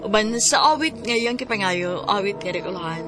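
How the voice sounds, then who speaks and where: A woman's voice: medium pitch, fairly quick. A woman with a Cebuano accent reading scripted narration as the host of a radio drama. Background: faint music.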